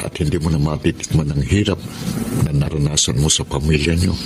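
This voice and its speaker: A man's voice: rumbling low, fairly even intonation, fairly quick, very noisy. A man acting a weary, devoted partner in a Tagalog radio drama, speaking earnestly and with some hesitation. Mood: tender, earnest. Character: weary, devoted man torn between live-in partner and mother. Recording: radio drama with music behind. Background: music.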